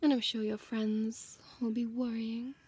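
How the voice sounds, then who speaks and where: A woman's voice: fairly high pitch, little pitch movement, measured pace, darkish tone, husky. A woman playing a seductive, menacing female vampire in an intimate audio roleplay, toying with her prey in a teasing half-whisper and archaic wording. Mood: mock concern.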